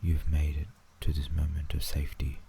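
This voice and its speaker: Very deep, dark, hushed Australian man. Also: completely flat delivery, moderate pace, gravelly. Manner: soothing, hushed, often dropping into a whisper. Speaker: guided meditation narrator. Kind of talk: ASMR sleep visualization, scripted narration. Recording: faint background noise and ambience.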